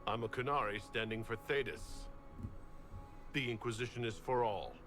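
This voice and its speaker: An actor playing a huge, earnest, resolute warrior leader in a fantasy video game. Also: male voice, medium pitch, moderate pace, music in the background, lightly acted. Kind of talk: dramatic video game acting.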